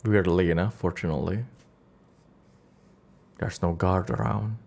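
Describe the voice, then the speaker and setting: A man acts as game master on a storytelling stream, improvising as he goes. His pace is very unhurried and halting, and he often repeats a word or phrase before moving on. He shifts between narrating the scene and chatting with his viewers. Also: sits low, fairly even intonation, vocal fry, a little mellow.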